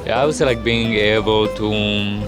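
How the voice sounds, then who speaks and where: A man answering in a hesitant, halting way with many pauses and fillers. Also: lowish voice, steady tone, music in the background. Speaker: passer-by.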